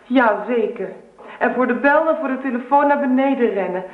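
Actress as affectionate young wife, thin phone-like sound. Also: female voice, on the high side, normal speed, natural voice. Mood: exasperated, protesting. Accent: Netherlands Dutch. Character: young pregnant wife, affectionate, practical, gently insistent. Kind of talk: radio play acting. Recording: roomy, tinny phone-like radio recording.

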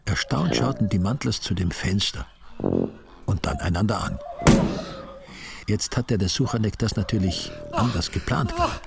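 A man's voice: lowish voice, huge pitch swings, unhurried, gravelly, long pauses, heavy background noise. A man narrating a story with dry, ironic humor. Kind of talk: wry storytelling reading.

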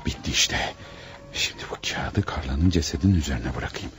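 An actor in a radio drama playing a panicked, scheming killer who mutters dramatically to himself. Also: male voice, rumbling low, fairly quick. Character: panicked scheming male killer hiding beside his victim. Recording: noisy old radio drama recording.

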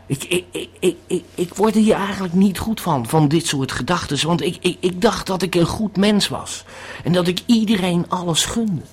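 A man's voice: sits high, moderate pace, few pauses, breathy edge. A man playing a rambling male tourist who is tripping on a pill, stammering and repeating his words in a radio drama monologue. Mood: rambling, uneasy.

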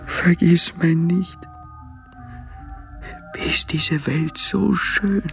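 A woman plays a drunken journeyman in a radio drama, boastful and maudlin. The voice is low and drawn-out, with music clearly heard behind it. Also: steady tone, full character voice. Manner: blustering, boastful. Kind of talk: theatrical radio play acting.

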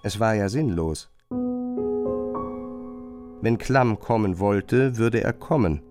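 A man's voice: lowish voice, expressive, slow pace, over music. A man narrating a radio drama in a scripted, literary style.

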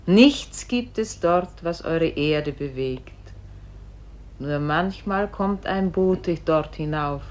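A low, solemn guiding spirit. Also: female voice, expressive, a little mellow. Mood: solemn, mysterious. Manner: measured, solemn, drawn-out incantatory phrasing. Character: solemn female spirit voice guiding a dying soul. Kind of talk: poetic German radio play acting.

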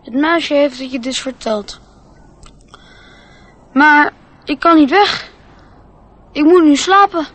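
An earnest little boy in a radio play. The voice is high and childlike, curious and trusting. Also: takes it slowly, pauses often, a bit bright, dry recording. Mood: worried. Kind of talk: radio drama acting.

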